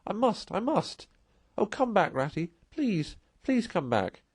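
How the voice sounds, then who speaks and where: A man voicing a small homesick male mole in a storybook, pleading in a high voice. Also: big pitch swings, measured pace, husky, exaggerated voice.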